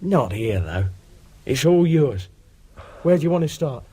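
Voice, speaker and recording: A man's voice: on the high side, very animated, a little slow, warm tone, breathy edge, exaggerated voice. A man acting in a radio drama as a cautious, matter-of-fact burglar for hire, giving terse, bossy instructions in colloquial speech. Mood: businesslike, calm.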